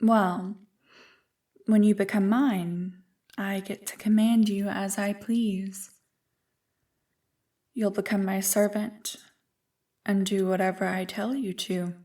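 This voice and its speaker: A woman voicing an ancient, evil primordial being in an ASMR roleplay, smug and tempting, with a deliberate, taunting delivery. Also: mid-range voice, little pitch movement, warm tone, far from the mic, natural voice. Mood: sly, commanding. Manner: slow, deliberate, taunting, coolly amused.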